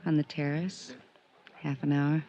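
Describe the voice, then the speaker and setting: A woman's voice: sits low, level tone, a little slow, mellow, faint music behind. An actress playing a coy, flirtatious woman in a noir radio drama, teasing in 1950s radio style. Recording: dull old radio recording.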